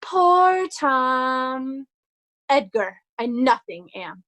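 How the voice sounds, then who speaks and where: A woman playing a hunted young nobleman in a Shakespeare soliloquy, in deliberate, measured verse. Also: sits high, big pitch swings, fairly bright tone, heavily acted. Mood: grimly determined. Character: hunted young nobleman resolving to pose as mad beggar.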